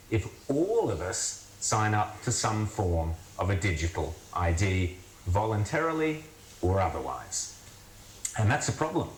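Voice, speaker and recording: A man's voice: fairly low pitch, reverberant, slight background noise, rough recording. A man from Australia delivering wry, scornful news commentary. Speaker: commentator host.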